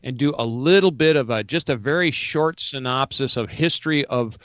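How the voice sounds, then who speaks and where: A man's voice: medium pitch, normal speed, fairly bright tone, dry recording. Easygoing male radio co-host, dry sound. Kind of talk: casual interview chat.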